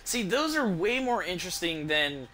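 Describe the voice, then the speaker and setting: A man's voice: sits high, big pitch swings, moderate pace, bright, forceful tone, slight background noise. A man giving casual, profane and easily amused commentary while streaming a game from a room at home.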